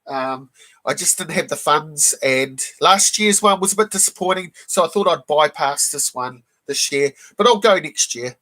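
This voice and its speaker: A man hosting a casual live stream, croaky from a cold, who keeps clearing his throat and greets viewers in the chat one by one. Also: on the high side, expressive, moderate pace, sharp tone. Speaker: live stream host showing comic books.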